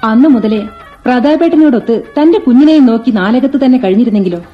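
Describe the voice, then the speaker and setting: Radio play: actress as a steadfast, motherly guardian, mid-pitched, lo-fi broadcast sound. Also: expressive, fast, close to an everyday voice. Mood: firm. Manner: firm, protective, motherly. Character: lonely, resolute woman guarding the family house. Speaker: radio drama actor. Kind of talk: 1980s Indian radio play acting. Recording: dull old radio recording with faint music.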